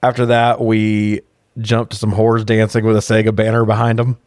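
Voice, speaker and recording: A man's voice: fairly low pitch, completely flat delivery, moderate pace, darkish tone, no room sound, clean studio sound. A man co-hosting a podcast, giving a casual, comedic, sweary recap of a movie in slangy talk. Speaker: podcast co-host.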